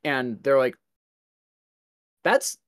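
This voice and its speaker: A man's voice: mid-range voice, expressive, extremely slow, clean tone. A man chatting casually as a podcast panelist, emphatically restating points and acting out bits of dialogue. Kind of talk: casual chat.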